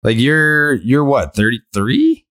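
A man's voice: mid-range voice, sing-song, slow pace, fairly bright tone, a little hiss or hum. A man hosting a casual podcast chat, joking around and laughing often. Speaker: podcast host.

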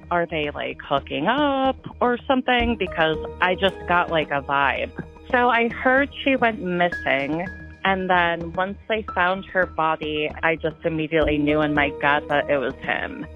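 A woman with a very low voice recounts events casually and keeps dropping "like" into her speech. The clip sounds dull and muffled, with faint music under it. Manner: fills speech with "like". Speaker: interviewee in a played clip. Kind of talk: casual recollection.

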